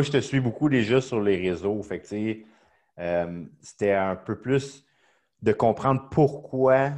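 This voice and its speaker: A man's voice: fairly low pitch, a little mellow. A man hosting a casual podcast, eager and chatty with many fillers. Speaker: podcast host.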